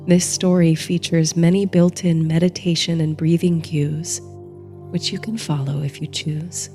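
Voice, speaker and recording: A woman narrating a guided bedtime meditation in a soothing, gentle, hushed manner, reading from a script. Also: fairly low pitch. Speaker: host and narrator of a sleep story series. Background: soft music.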